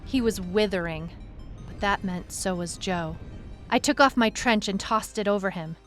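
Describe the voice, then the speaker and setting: A woman's voice: medium pitch, normal speed, soft background music, lightly acted. A woman playing a determined detective who narrates her own case in a scripted audio drama. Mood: urgent. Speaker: lead narrator.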